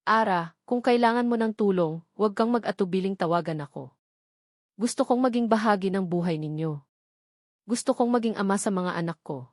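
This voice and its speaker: A woman's voice: mid-range voice, fairly quick, a little mellow, dry recording, clean studio sound, natural voice. Woman reading as an earnest, pleading Filipino father. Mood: hopeful, gentle. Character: earnest, pleading young father, devoted former lover.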